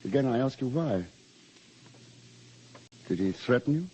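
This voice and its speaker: An actor playing an anxious, stern English boarding school housemaster, with a British accent and formal, measured wording, in old radio drama style. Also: male voice, mid-range voice, lively intonation, mellow, slight background noise, lightly acted. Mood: stern, probing. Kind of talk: old-time radio drama acting and first-person narration.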